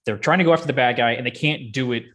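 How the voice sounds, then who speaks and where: Casual male podcast co-host, medium pitch, enthusiastic fan talk, loose and slangy. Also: rapid-fire, fairly bright tone. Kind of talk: casual chat about video game movies.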